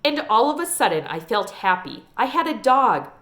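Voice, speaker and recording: A woman reading a children's novel aloud in an expressive storytelling style. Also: on the high side, moderate pace. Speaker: read-aloud reader.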